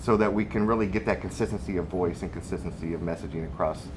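Deep-voiced man on a panel. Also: background noise. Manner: measured, explanatory, many fillers. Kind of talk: panel discussion.

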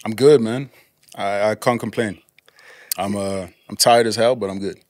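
Young Congo-born man, French speaker; low, calm, laid-back guest, dry studio mic. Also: normal speed, dry recording. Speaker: podcast guest. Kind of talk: casual chat.

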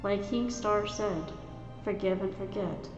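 A woman voicing a kind female warrior cat who speaks softly and consolingly. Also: mid-range voice, unhurried, echoey room, muffled recording, music in the background, lightly acted. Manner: soft, consoling.

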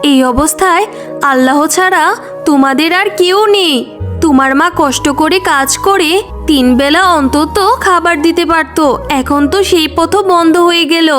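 A woman's voice: extremely high pitch, moderate pace, fairly bright tone, soft background music. A woman voicing a sympathetic village auntie in a children's cartoon, consoling and resigned in manner. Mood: sorrowful, consoling.

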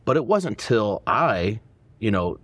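Earnest pastor talking low and dry. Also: male voice, very animated, takes it slowly, no room sound. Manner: earnest, stumbling restarts, warm affirmations. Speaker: pastor and podcast host. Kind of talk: casual conversational interview. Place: dark church auditorium, indoors.

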